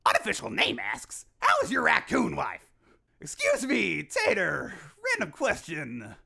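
A man voicing an eccentric, excitable old hillbilly inventor for a cartoon, folksy and erratic. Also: high voice, measured pace, vocal fry, husky, full character voice. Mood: excitable, awkward. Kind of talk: cartoon character acting.

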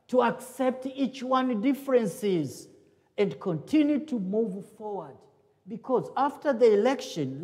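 A middle-aged priest from Tanzania preaching a homily at Mass, slow and earnest, with rising emphasis on key words. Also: male voice, high voice, a little mellow. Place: large church sanctuary. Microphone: far camera, church sound system. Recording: long church reverb.

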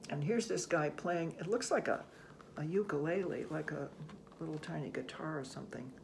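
An older person reading a picture book aloud to children, gently and unhurriedly, with musing asides. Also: medium pitch, vocal fry, background noise. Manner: gentle, unhurried, musing with soft hmms and ohs. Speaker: children's read-aloud host. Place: small room indoors at home.

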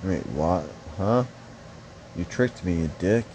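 A man's voice: low voice, animated, takes it slowly, dark tone, a little hiss or hum. A young man casually commenting on a mobile fighting game, rambling and swearing with joking asides.

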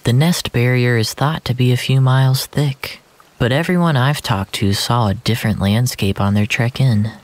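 A narrator reading scripted first-person fiction in a measured, literary storytelling manner. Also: medium pitch. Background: music.

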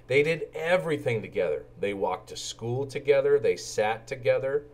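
A man narrating a children's storybook in an expressive, animated way and acting out the characters' lines. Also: medium pitch, moderate pace. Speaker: read-aloud storyteller for children.

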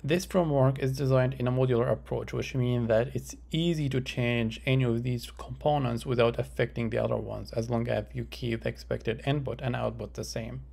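A man's voice: mid-range voice, steady tone, brisk, vocal fry, hardly any pauses, darkish tone. A man giving a scripted, methodical academic presentation as a researcher explaining a paper.

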